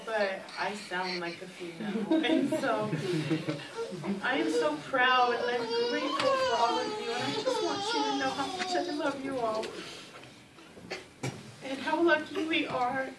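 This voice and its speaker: A woman wrapping up a family reunion with loud, heartfelt, halting remarks full of fillers. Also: sits high. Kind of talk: emotional closing remarks. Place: large room at family gathering. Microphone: distant from recorder. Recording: echoey room, distant, loud noise.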